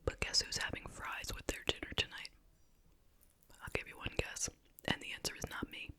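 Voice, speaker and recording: A woman whispering softly and breathily in a relaxed, rambling, conversational ASMR style, as the host of an eating video. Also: moderate pace. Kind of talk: whispered ASMR chit-chat, eating show. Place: at home in a residential house.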